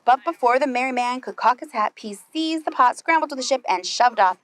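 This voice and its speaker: A woman reading a children's story aloud as a preschool storyteller, animated and putting questions to her young listeners. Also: high-pitched, a little fast, no echo.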